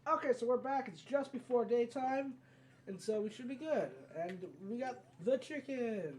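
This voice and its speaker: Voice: mid-range voice, moderate pace, darkish tone. A video game let's player giving casual, rambling commentary and often correcting themselves mid-sentence. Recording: mic at a distance, background noise.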